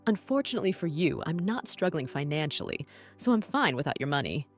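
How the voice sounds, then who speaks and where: A woman's voice: deep voice, a little fast, faint music behind. A woman voicing an outspoken young aunt who works as a bar hostess in an anime-style dub. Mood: scornful. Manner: sharp-tongued, lively.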